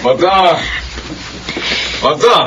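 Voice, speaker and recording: A man's voice: high-pitched, lively intonation, very slow, exaggerated voice. A man playing a blunt older-brother figure in a radio drama. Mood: urgent. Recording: radio drama with clear background noise.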